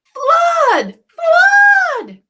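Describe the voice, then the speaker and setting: A woman voicing a scheming storybook animal who is loud, bossy and persuasive toward nesting birds. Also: very high voice, huge pitch swings, very slow, voice pushed up, full character voice. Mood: false alarm.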